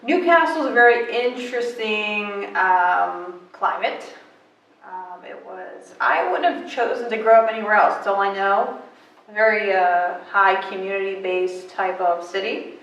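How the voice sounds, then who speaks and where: Twenties woman chatting away in an interview, lots of ums, boomy room, sounds far off from the mic. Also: measured pace, vocal fry, muffled recording. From western Pennsylvania. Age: 20s. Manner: chatty, animated storytelling with many fillers. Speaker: guest. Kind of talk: casual interview. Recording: echoey room, mic at a distance.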